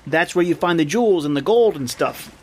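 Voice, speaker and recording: A man's voice: fairly high pitch, animated, brisk, darkish tone, breathy edge. A man playing an eager, naive sidekick in an old-time radio drama, blunt, folksy and full of questions. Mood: curious. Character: eager, naive, excitable male treasure-curious sidekick.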